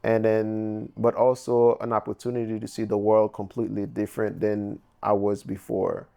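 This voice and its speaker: A man's voice: fairly low pitch, monotone, moderate pace, creaky, warm tone. A young man from Haiti with a Haitian accent, a painter in a documentary interview, speaking reflectively with loose phrasing and many fillers. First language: Haitian Creole.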